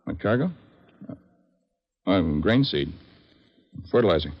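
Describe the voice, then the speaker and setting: An actor playing a guarded, hard-boiled air-freight man, gruff and slangy, in an old-time radio drama. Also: deep voice, sing-song, measured pace, heavily acted. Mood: bitter, evasive. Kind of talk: 1940s-50s American radio drama acting. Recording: dull, noisy old radio recording with clicks.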